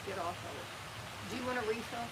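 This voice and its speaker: A woman's voice: fairly high pitch, brisk. Woman cohost, dry teasing remarks, outdoors, noisy background. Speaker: co-host. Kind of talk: casual chat.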